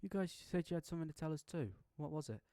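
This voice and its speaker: Shaky, apologetic teen girl, soft and high, man reading. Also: animated, fast, no room sound, clean studio sound. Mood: curious. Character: shaken, tearful teen girl Monika.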